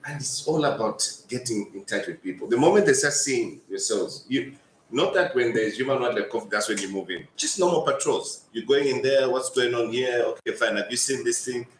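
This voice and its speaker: A man's voice: medium pitch, expressive, fairly quick. A man giving an informal, emphatic address to a meeting as an official. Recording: echoey room, faint noise.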